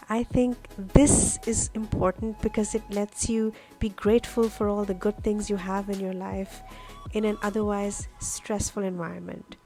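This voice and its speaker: A woman who grew up speaking Urdu narrates a craft process in a casual, explanatory way. Her medium-pitched voice has a noticeable gravelly creak. Music plays behind her voice-over. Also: a little mellow. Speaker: journaling vlogger, host.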